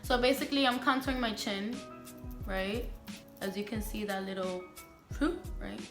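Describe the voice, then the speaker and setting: A woman's voice: mid-range voice, moderate pace, echoey room, over music. A young woman from the Bronx, chatting frankly and emphatically with her viewers while doing her makeup, full of slang. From the Bronx, New York. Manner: candid and emphatic, heavy slang, talks to viewers as "sis" and "girl". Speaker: beauty vlogger. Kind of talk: casual get-ready-with-me chat.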